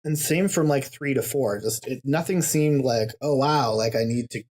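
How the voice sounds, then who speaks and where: A man talking as a podcast guest in casual chat, halting and restarting, laughing through his points. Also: mid-range voice, a little mellow, breathy edge.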